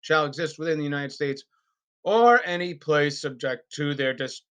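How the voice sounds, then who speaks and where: A man reading a formal legal document aloud in an unrehearsed, deliberate and emphatic way, with the occasional stumble. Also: a little high, fairly bright tone, clear, resonant tone. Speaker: reader.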